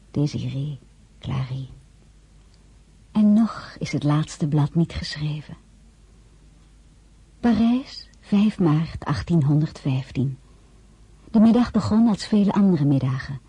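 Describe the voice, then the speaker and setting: A wistful woman looking back on her life in a radio drama. Her voice is very husky and breathy, with a mellow softness. She narrates intimately and reflectively, in a dry studio sound. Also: low voice, no room sound, exaggerated voice. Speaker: lead actress and first-person narrator.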